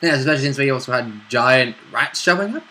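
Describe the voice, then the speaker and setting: A man's voice: medium pitch, expressive, fast talker, pushed and bright, a little hiss or hum. A man chatting casually as a player about a tabletop role-play game. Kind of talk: casual chat.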